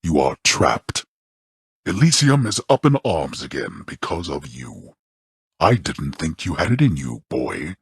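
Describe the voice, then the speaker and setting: An actor voicing a stern, scornful god of the underworld in a video game, with a haughty, formal manner of speech. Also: male voice, rumbling low, very animated, a little slow, gravelly, breathy edge, dry recording, clean studio sound, heavily acted. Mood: dry, disdainful. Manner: haughty, formal archaic diction, disdainful. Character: stern, scornful, imperious male god ruling the underworld, an overbearing father. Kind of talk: scripted video game character lines.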